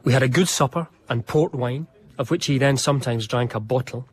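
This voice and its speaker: Radio drama: male actor as eager young gentleman diarist of the 1700s, mid-pitched, formal and literary, confiding in the listener. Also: lightly acted. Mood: confiding, pleased. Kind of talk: radio drama narration and dialogue.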